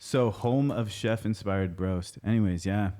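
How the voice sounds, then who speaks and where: Low-voiced man hosting a casual podcast. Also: measured pace, mellow. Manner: rambling, filler-heavy, enthusiastic. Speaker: podcast host. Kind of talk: casual chat.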